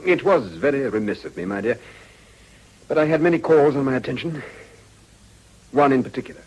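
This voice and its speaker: An actor playing a courteous, melancholy upper-class British gentleman in a period radio drama, speaking formally and with reserve. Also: medium pitch, animated, brisk, natural voice, rough recording. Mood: wistful reserve.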